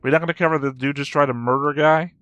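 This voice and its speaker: A man's voice: fairly high pitch, fairly even intonation, rapid-fire, a bit bright, no room sound, soft background music. A man giving casual, blunt reaction commentary as the host of a reaction video.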